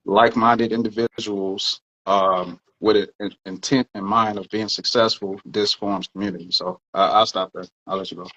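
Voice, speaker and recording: A man's voice: fairly low pitch, fairly even intonation, moderate pace, few pauses, sharp tone, muffled recording. A man co-hosting a podcast, explaining his views earnestly in casual talk and swearing now and then. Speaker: podcast co-host.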